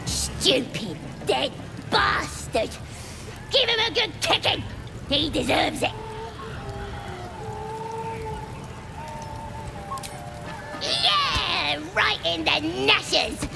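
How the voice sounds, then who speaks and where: A woman's voice: extremely high pitch, sing-song, slow pace, creaky, over music, exaggerated voice, low-quality recording. A woman playing a cruel, belligerent young goblin in a video game, taunting in working-class English slang. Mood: gleefully vicious. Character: brash, cruel young orphaned goblin brawler. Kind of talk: video game character acting.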